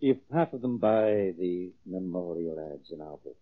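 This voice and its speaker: Low, animated, evasive businessman. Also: a little slow. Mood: placating. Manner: placating, businesslike, controlling. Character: smooth, evasive crooked publishing businessman. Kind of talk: 1940s radio acting. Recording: muffled old radio recording.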